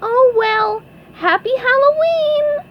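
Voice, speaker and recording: A woman voicing an excitable young male cartoon sea sponge with a squeaky, high-pitched voice. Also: unhurried, exaggerated voice. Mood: excited, triumphant. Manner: excitable, exclaiming. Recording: dull lo-fi, faint background noise.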